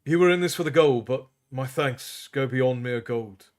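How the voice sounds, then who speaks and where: Man as prince, calm, low, authoritative, audiobook. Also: moderate pace, natural voice. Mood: commanding. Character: composed prince, commanding and wry.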